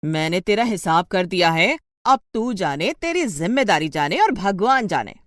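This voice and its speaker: A woman's voice: mid-range voice, sing-song, normal speed, dry recording, clean studio sound, natural voice. A woman voicing a harsh, impatient rich employer in a story, scolding her maid curtly. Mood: scornful. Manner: scolding, curt.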